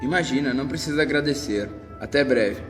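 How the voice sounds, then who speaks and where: A man's voice: mid-range voice, brisk, fairly bright tone, close to an everyday voice. A Brazilian man playing an anxious, earnest and polite lover in an amateur school radio drama. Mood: grateful, polite. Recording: echoey hall, long reverb, faint music. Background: faint music.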